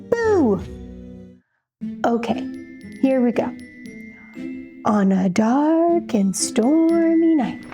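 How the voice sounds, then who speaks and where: A jittery, easily spooked storybook narrator, acted by a woman, with a high, mellow voice and unhurried delivery. The narrator speaks straight to the listener in theatrical warnings and questions, as in a children's read-aloud over music. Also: heavily acted.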